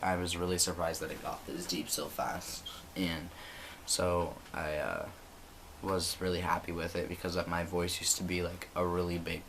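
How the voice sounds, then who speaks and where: A young man talking casually to camera in a home vlog, relaxed and rambling with many ums and likes. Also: deep voice, a little hiss or hum. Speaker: vlogger. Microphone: built-in computer camera mic.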